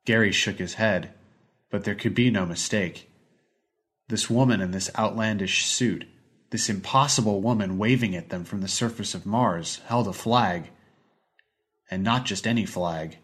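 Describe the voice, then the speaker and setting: A man's voice: fairly low pitch, measured pace, fairly bright tone, slightly rough. A man reading a scripted short story as an audiobook narrator.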